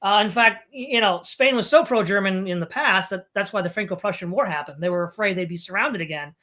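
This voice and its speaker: A woman's voice: mid-range voice, fairly quick, a bit bright. A woman interviewing on a podcast, speaking in an opinionated, rambling way with emphatic repetition.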